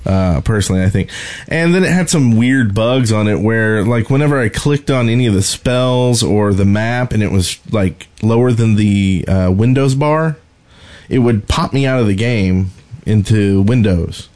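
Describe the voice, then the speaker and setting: A man co-hosting a podcast, chatting casually about games and life in a halting, rambling, informal way. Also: fairly low pitch, a little mellow. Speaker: podcast co-host. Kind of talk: casual gaming podcast chat.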